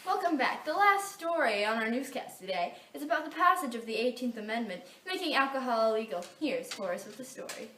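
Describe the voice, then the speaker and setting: High, lively American teenage girl in echoey room. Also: a little fast, hardly any pauses, slight background noise. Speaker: student playing news reporter and anchor. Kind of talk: amateur scripted mock 1920s newscast. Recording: roomy echo, distant mic.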